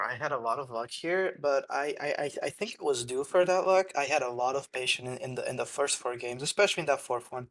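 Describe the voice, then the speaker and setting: A man's voice: medium pitch, no echo. A man answering as a player in a casual post-game interview, hesitant and often stammering into restarts.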